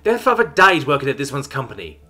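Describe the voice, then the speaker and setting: A man's voice: medium pitch, expressive, quick pace, fairly bright tone, slightly rough, faint music behind, voice pushed up. Man voicing a bitter, menacing serpent alien mother. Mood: bitter, desperate. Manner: stilted, formal speech.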